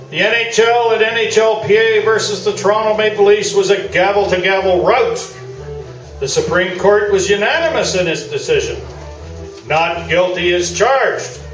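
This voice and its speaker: A pompous sports columnist in a comic radio play, acted by a man, with a high, very animated delivery. He pontificates with great self-satisfaction, heard at a distance in a roomy theatre. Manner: grandiose, pontificating. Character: pompous, self-satisfied male sports columnist, fond of Toronto's hockey establishment. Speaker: actor in a live radio play. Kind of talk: staged talk-show debate, comic radio play. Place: live theatre, mic at a distance. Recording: roomy distant stage recording, music behind.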